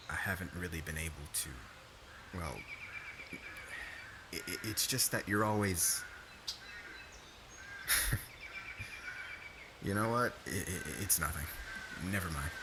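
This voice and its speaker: A man's voice: low-pitched, background noise. A man playing a laid-back, devoted young warrior husband in an ASMR romance roleplay, talking in casual slang. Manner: casual slangy talk, stammers when flustered.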